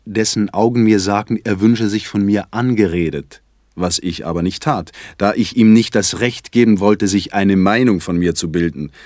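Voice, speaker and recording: An actor playing a fussy, self-satisfied poet in a theatrical literary monologue, with mannered, ornate, deliberate phrasing. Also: male voice, on the low side, a little mellow, dry recording, studio quality, close to an everyday voice. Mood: self-satisfied musing. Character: fussy, self-satisfied Swiss poet confessing small escapades. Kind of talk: theatrical literary monologue in German stage diction.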